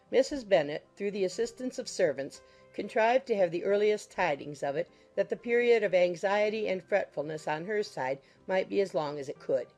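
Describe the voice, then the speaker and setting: Lower-pitched woman narrating a classic novel. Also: a little mellow. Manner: measured, formal storytelling. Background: music under the voice.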